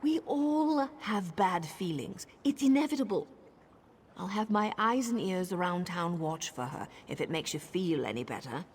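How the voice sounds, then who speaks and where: An actress playing a cool, sardonic female elf assassin in a video game, with a husky voice and a dry, confident, often hushed delivery. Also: fairly low pitch, animated, normal speed, a little hiss or hum, natural voice.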